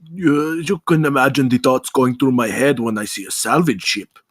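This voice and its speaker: A man acts a lean, towering head scientist of about sixty. He is suspicious and authoritative, with a mid-pitched voice in a close, dry sound. It is a script table read. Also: normal speed, no room sound, close to an everyday voice. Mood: suspicious, stern.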